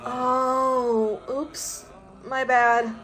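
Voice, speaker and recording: A woman's voice: high-pitched, level tone, drawn-out words, a bit bright, exaggerated voice, low-quality recording. A woman playing a cheerfully oblivious sidekick in a casual audio comedy skit, nonchalant in manner. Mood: breezy, oblivious.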